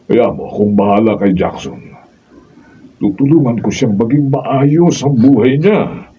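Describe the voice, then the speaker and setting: A man's voice: medium pitch, animated, unhurried, close to an everyday voice. An actor playing an apologetic, kindly older father-in-law in a Filipino radio drama, hesitant and coaxing. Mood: embarrassed, reassuring. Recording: muffled, distant mic, faint noise.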